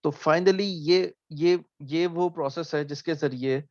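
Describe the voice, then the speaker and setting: A man's voice: on the high side, no room sound. Man explaining, dull dry recording. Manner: explains slowly, often asks "ठीक है?", restarts words. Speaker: lecturer. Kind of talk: recorded math lecture.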